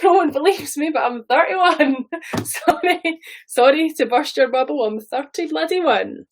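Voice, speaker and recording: A woman in her thirties with a Scottish accent, talking loosely and rambling to her viewers on a live stream. Her voice sits a little high, and she swears now and then between asides. She sounds like she is on a phone or webcam mic. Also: a little slow, a little hiss or hum. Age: 30s. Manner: chatty, rambling, swears casually. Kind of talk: casual live stream chat.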